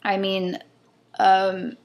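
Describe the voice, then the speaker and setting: A young woman speaks in a Californian accent flecked with a Chinese-immigrant lilt, her voice sitting at an ordinary middle pitch. She talks in a loose, thinking-aloud way, pausing on ums and trailing off mid-thought as she rants to her camera. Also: completely flat delivery, a little mellow. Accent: California, mixed with a Chinese-immigrant lilt. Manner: rambling, hesitant, thinking aloud with many ums. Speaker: vlogger. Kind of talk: casual rant to camera.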